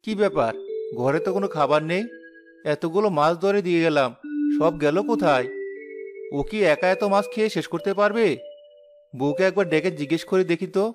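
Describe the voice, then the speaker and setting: A man's voice: on the high side, fairly quick, long pauses, over music, natural voice. A man voicing a mild, puzzled and suspicious village husband in a Bengali cartoon story. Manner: questioning, wondering aloud.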